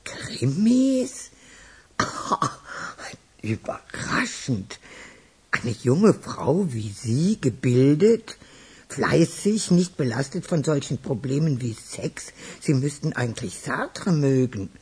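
A woman playing a chatty, proud retired music teacher in a radio drama, teasing and theatrical, with a husky older voice. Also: very deep voice, big pitch swings, a little mellow, heavily acted. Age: 60s or older. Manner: teasing, drawn-out, theatrical delivery. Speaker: actor in a radio crime drama.

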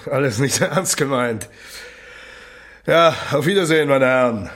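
A man's voice: mid-range voice, lively intonation, moderate pace, fairly bright tone, a little hiss or hum, lightly acted. Man playing a blustering, grumbling building contractor. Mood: falsely apologetic. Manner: curt, gruff, grumbling. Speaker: radio drama actor. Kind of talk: naturalistic radio drama acting.

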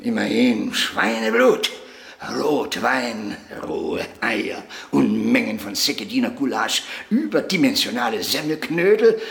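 An actor playing an eccentric, boastful, cantankerous old avant-garde puppeteer in a radio drama, lecturing grandly and theatrically. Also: male voice, mid-range voice, big pitch swings, measured pace, creaky, fairly bright tone, full character voice. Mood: proud, reminiscing. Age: elderly. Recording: echoey room.

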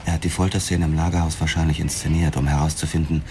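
An actor playing a driven, curt police detective in a German radio drama, speaking in a clipped, insistent way. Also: male voice, rumbling low, flat delivery, fast talker, mellow. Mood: matter-of-fact. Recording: clear background noise.

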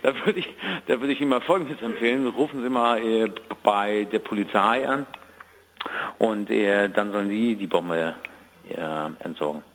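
A man's voice: on the low side, normal speed, fairly bright tone. A man on a phone call, answering in a hesitant, colloquial way. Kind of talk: phone conversation.

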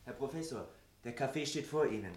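A man's voice: medium pitch, expressive, fairly quick, a little mellow, natural voice. A man in a 1960s German radio play playing an eager, servile teenage helper. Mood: attentive, eager. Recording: roomy, echoing radio studio.

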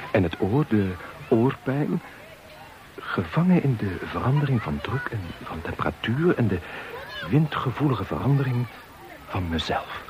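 A man in a radio play speaking a slow, brooding inner monologue. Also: mid-range voice, noisy background. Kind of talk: 1970s-style Dutch radio drama monologue.

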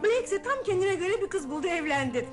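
Geveze, sevecen teyze. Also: female voice, very high-pitched, brisk. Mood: reminiscing. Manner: lively, reminiscing, talkative. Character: chatty middle-aged aunt, gossipy, fond. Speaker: aunt in radio play. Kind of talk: 1970s Turkish radio drama acting. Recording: old radio broadcast with music.

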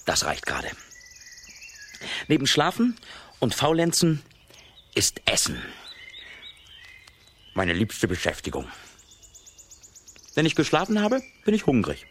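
A man's voice: medium pitch, huge pitch swings, takes it slowly, long pauses, a bit bright, slight background noise, heavily acted. A young man playing a lazy, food-loving farm lad, leisurely and self-satisfied, in a children's radio play. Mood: contented, drowsy. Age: young adult. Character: lazy, food-loving seventeen-year-old Russian farm lad, easygoing.

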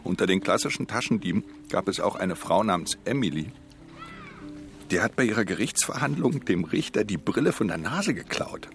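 An actor playing a world-weary, guarded pickpocket in a radio play, speaking and narrating in a laconic, restrained way. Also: male voice, fairly low pitch, animated, moderate pace, faint music behind. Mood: matter-of-fact, resigned. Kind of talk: radio drama dialogue and introspective first-person narration.

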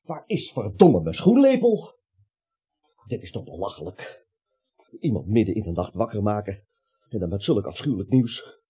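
A timid, put-upon businessman in a radio play. The voice swings widely in pitch and has a soft, mellow tone as he stammers and grumbles. The recording is dull and lo-fi. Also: very animated, normal speed, long pauses, breathy edge, full character voice. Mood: flustered, grumbling. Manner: stammering, whining, protesting. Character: timid, grumbling, flustered male businessman roused at night. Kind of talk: comic radio drama acting.

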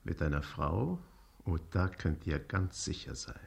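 A man's voice: low-pitched, lively intonation, measured pace, gravelly, full character voice. An actor playing a sly church henchman in a radio play, speaking like a conspirator. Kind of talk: German radio play acting. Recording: echoey radio drama room.

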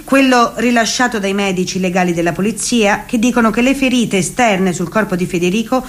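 A woman's voice: mid-range voice, a little fast. A woman narrating a scripted documentary play for radio as one of its actors.

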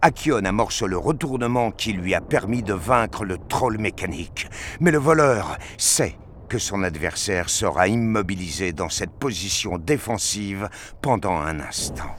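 A man's voice: on the low side, expressive, a little slow, fairly bright tone, slight background noise. A man giving a dramatic scripted narration of a science fiction action story.

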